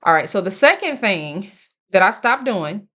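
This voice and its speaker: A woman in her late thirties giving casual, earnest life advice straight to her viewers, speaking deliberately and checking in with them. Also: mid-range voice, sing-song, a bit bright. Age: late 30s. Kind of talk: casual direct-to-camera advice talk.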